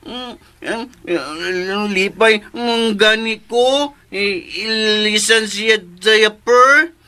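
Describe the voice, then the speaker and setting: A man playing a childlike, simple-minded man in a radio drama, with halting, repetitive speech and a Filipino accent. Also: high-pitched, bright, forceful tone, exaggerated voice. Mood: childlike, happy. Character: childlike, simple-minded man devoted to his living doll. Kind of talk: Cebuano radio drama acting.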